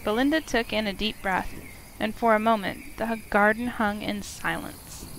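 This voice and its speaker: A woman's voice: medium pitch, fairly even intonation, normal speed, fairly bright tone, a little hiss or hum, low-quality recording. A woman reading scripted story narration aloud from a visual novel, as a let's player. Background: game music.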